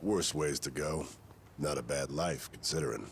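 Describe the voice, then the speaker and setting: An actor playing a stoic, world-weary old gangster veteran in a video game, speaking in a terse, dry, understated way. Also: male voice, a bass voice, moderate pace, a little hiss or hum. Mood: wry. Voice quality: gravelly. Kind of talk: video game cutscene acting.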